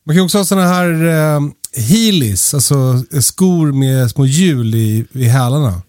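Mellow, higher-voiced man chatting casually. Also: lively intonation, no echo, clean studio sound. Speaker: podcast host. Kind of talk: casual chat.

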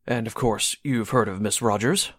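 A man playing a brisk, genial radio station host in a 1940s-style radio drama. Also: on the low side, a bit bright, dry recording, clean studio sound. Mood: genial, businesslike. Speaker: actor in an amateur radio drama re-creation.

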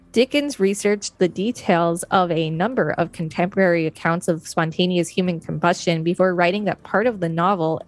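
A woman co-hosting a show, reading an encyclopedia article aloud as informative narration. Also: fairly low pitch.

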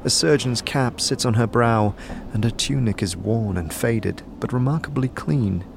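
A man's voice: medium pitch, a little slow, creaky, noisy background. A man giving scripted narration for a fantasy audio drama. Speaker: narrator and lead player.

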